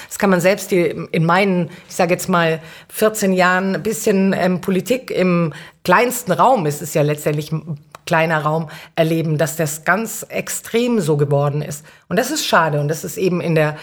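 A woman, a guest in a casual reflective discussion, explaining earnestly and often correcting herself mid-sentence. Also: on the low side. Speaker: podcast guest, city councillor.

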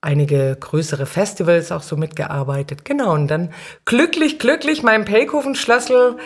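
A woman's voice: fairly low pitch, animated, moderate pace. A middle-aged woman answering as an interview guest, explaining things in an informal, conversational way. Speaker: podcast guest, city councillor and cultural manager.

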